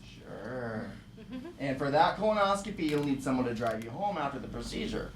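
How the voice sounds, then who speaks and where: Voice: mid-range voice, animated, normal speed, close to an everyday voice. A performer playing a breezy doctor in his early seventies who thinks he is hip, slangy and blunt, in a comedy table read. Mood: matter-of-fact. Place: theater stage, live show. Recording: echoey live stage, faint noise.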